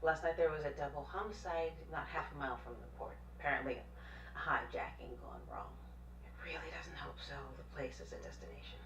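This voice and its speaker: Composed female aide, deep for a woman, restrained drama delivery, roomy playback with faint noise. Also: a little fast, fairly bright tone, far from the mic. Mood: cool, cautioning. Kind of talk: understated TV drama acting.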